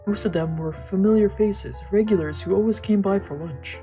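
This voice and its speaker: A woman's voice: medium pitch, moderate pace, warm tone. A woman streaming a visual novel, reading its narration aloud and adding casual commentary. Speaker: let's play streamer. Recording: music behind the voice. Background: game music.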